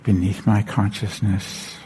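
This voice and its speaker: A man leading a guided meditation as a teacher, gentle and unhurried, leaving long pauses and repeating short phrases. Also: fairly low pitch, flat delivery, a little hiss or hum, low-quality recording.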